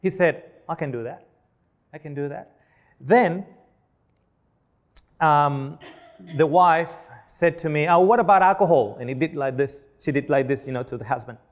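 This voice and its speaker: Spanish-accented man giving a lively seminar. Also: a little high, normal speed, long pauses. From Spain. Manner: chatty, asks the audience questions, joking asides. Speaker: clinical nutritionist giving a seminar. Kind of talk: live seminar talk to an audience.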